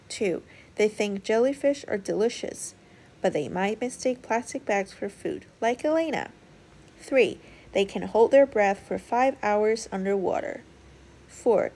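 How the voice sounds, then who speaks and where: A woman's voice: mid-range voice, a little mellow. A woman storyteller reading a children's picture book aloud as scripted narration.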